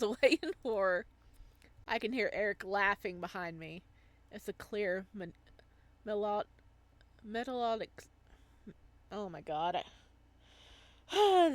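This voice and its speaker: A woman reading a visual novel aloud as a let's play, gushing and gasping in her reactions. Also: medium pitch.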